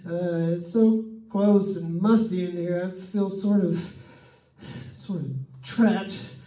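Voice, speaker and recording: A man's voice: sits high, fairly even intonation, slow, warm tone. A man playing a nervous, chatty radio host alone in a haunted house, stammering and rambling in an old-time radio suspense style. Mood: creeping dread. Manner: stammering, jittery, rambling with forced cheer. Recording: echoey room, distant microphone.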